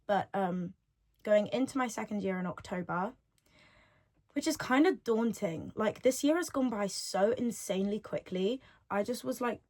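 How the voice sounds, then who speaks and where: Rambling chatty young woman, eighteen. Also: medium pitch. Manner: rambling and chatty, repeats her own phrases. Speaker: podcast host. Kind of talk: solo podcast monologue. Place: at home. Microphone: device mic, no podcast microphone.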